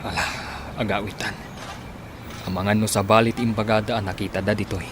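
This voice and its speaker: A man's voice: fairly low pitch, little pitch movement, a little fast, background noise, lightly acted. An actor playing a doubtful but obliging man in a radio drama, skeptical and grudging. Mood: resigned.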